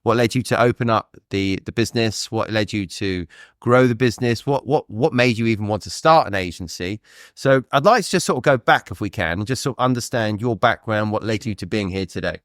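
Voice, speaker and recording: A man's voice: lowish voice, brisk, no echo. A man hosting a conversational interview in a podcast studio, putting questions and his own recollections to a guest.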